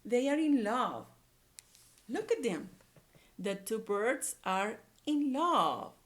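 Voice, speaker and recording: Woman reading as a chipper, expressive storybook pig. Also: on the high side, takes it slowly. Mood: pleased. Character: chipper storybook pig, the elephant's best friend.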